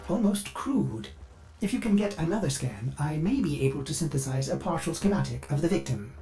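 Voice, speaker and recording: Fussy bug-addled ship ai servant from a game, theatrical and super animated, music behind it. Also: male voice, a little high, fairly quick, low-quality recording. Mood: helpful, analytical. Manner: fussy, theatrical, over-eager. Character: chirpy, bug-addled male ship AI, eager servant with dark glitches. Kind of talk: video game voice acting.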